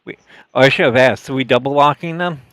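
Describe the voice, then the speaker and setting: A man co-hosting a lockpicking livestream, talking in a relaxed, easygoing way. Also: medium pitch, fast, no room sound. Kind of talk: casual chat.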